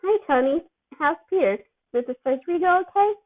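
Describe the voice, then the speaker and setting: A woman voicing a concerned, chatty aunt who is quick to question, in an amateur story reading. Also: sits high, lively intonation, pitched above the performer's own voice. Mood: friendly concern. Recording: thin, phone-like narrow-band sound.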